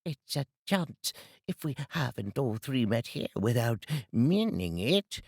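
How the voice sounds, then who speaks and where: A man voicing a coarse, greedy charwoman in a storybook reading, high and scornful. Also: very animated, slow, vocal fry, no room sound, studio quality, full character voice.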